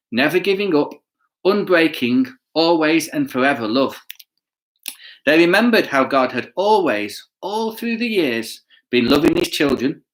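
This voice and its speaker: Man, children's storyteller, lively swooping pitch, slightly high voice, playful, expressive, chatty asides, questions to young listeners. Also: low-quality recording. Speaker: children's bedtime story reader.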